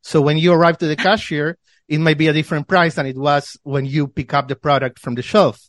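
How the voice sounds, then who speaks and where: A man's voice: on the high side, steady tone, fairly quick, a bit bright, dry recording. Argentine man explaining in a dry close sound. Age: 30s. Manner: explanatory, with restarts and repeated words. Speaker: guest, financial newsletter founder. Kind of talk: podcast interview.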